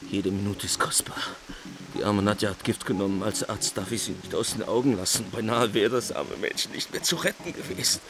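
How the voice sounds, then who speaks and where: A man's voice: on the low side, brisk, noisy background, natural voice. A man playing an earnest, sentimental country doctor in a radio drama, speaking in an imploring, exclamatory way. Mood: urgent, imploring.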